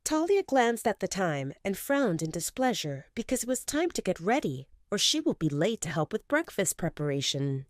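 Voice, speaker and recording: A woman narrating a romance audio story in an expressive, scripted style, close on a studio mic. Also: medium pitch, normal speed, hardly any pauses, no room sound. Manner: expressive, swinging in tone.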